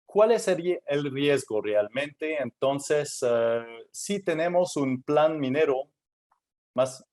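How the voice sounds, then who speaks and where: A man presenting at a technical workshop in Spanish, which is his third language, speaking haltingly with many fillers and restarts. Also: a little high, lively intonation, darkish tone. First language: not Spanish; Spanish is his third language.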